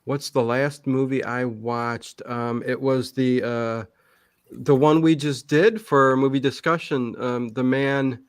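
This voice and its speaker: A man's voice: mid-range voice, fairly even intonation, slow, smooth. A middle-aged man chatting casually as an interview guest, talking emphatically and repeating words to stress his points. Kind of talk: casual chat.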